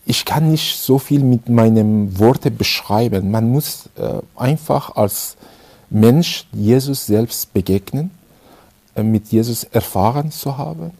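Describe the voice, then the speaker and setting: Slow, gravelly Iranian-accented man. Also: mid-range voice, mellow, no echo. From Iran. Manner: halting, many fillers and restarts. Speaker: interviewee. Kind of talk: personal testimony.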